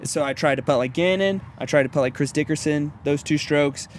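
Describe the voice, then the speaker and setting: A man's voice: fairly high pitch, a little fast. A young man in his early twenties from Louisiana, talking in a slightly raised voice with an easygoing, rambling flow. Traffic noise hums faintly outdoors behind him. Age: 20s. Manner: easygoing, rambling, frequent "you know what I mean". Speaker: disc golf pro, interview guest. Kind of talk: casual interview chat.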